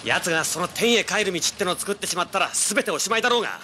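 A man's voice: high-pitched, lively intonation, quick pace, pushed and bright, slight background noise. An actor playing a brash, driven young male treasure hunter in an audio drama, with intense dramatic delivery. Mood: impatient, excited. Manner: cocky, intense, rough casual speech. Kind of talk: dramatic first-person narration and dialogue, audio drama.

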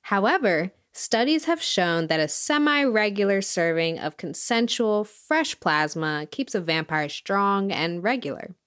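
Lively documentary narrator. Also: female voice, mid-range voice, unhurried, few pauses, clear, resonant tone, no echo, studio quality. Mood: wry, informative. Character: knowing woman host of music documentary. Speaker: narrator and host.

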